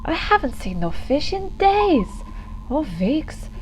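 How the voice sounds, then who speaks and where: Submarine crewhand, radio drama, played by a woman: very high, animated, whiny, hesitant, slow delivery. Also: soft background music, full character voice, poor audio. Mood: bored, grumbling. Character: bored, nervous, grumbling submarine crew member. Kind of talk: radio play acting.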